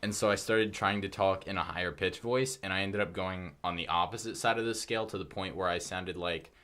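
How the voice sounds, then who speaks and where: Teen guy on a podcast, real low voice but bright edge, rambles w lots of ums. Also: fairly quick. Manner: rambling storytelling with many fillers. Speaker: podcast co-host. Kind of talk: casual podcast chat. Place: small podcast studio.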